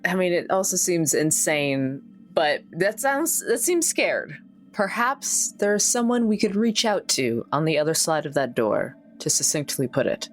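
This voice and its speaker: Casting: female tabletop gamer, playful and animated, slightly low register, soft music underneath. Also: unhurried. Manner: animated, exclamatory. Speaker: player in a tabletop roleplaying game. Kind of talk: casual playful game chat.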